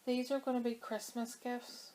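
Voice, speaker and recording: Woman knitting vlogger with a slightly high, flat delivery. Dry throat from a cold. Chatty and meandering. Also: moderate pace, a little hiss or hum. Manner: chatty and rambling, with many fillers. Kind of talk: casual chat to camera.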